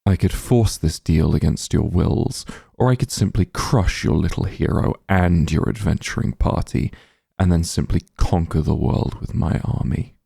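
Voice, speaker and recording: A smug, possessive demon lord played by a man for a fantasy ASMR roleplay. The voice is low and very dark, thick with gravelly fry. He speaks without hurry, softly commanding and condescending. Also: normal speed, no echo, clean studio sound, full character voice. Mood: annoyed, threatening. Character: powerful demon lord, calm, smug, possessive, bargaining villain.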